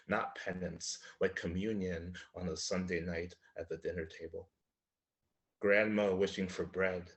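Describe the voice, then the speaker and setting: A man presenting and reading his own poems at an online literary event. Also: low-pitched. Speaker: featured poet reading own work. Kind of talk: live poetry reading with spoken introductions. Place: indoor room in front of a slate wall, online reading.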